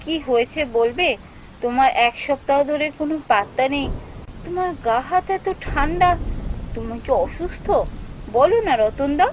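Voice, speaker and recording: A woman playing an anxious young female office worker in a cartoon story, speaking in an expressive, emotional way. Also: sits high, normal speed, slight background noise, close to an everyday voice, poor audio. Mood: worried, disbelieving. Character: anxious young female office worker Soumi, telling her ghost encounter. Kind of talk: dramatic scripted story narration and dialogue.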